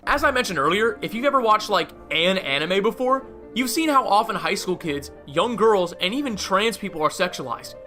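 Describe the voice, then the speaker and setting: A man's voice: high voice, lively intonation, moderate pace. A man narrating a scripted video essay, with casual asides, over music. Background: faint music.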